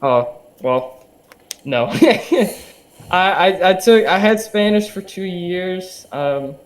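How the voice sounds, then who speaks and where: A man's voice: high-pitched, animated, slow pace, rough recording. A young man, a podcast guest, chatting casually in a hesitant way with lots of fillers and eager restarts. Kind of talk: casual interview chat.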